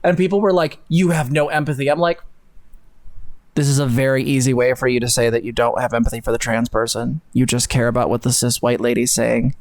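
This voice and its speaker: A woman's voice: extremely low pitch, flat delivery, a little fast, darkish tone. A young woman co-hosting a casual livestream, sardonic and profane, leaning hard on words for emphasis. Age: young adult. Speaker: co-host of a livestream. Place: bedroom, indoors. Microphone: close desk microphone on a boom arm.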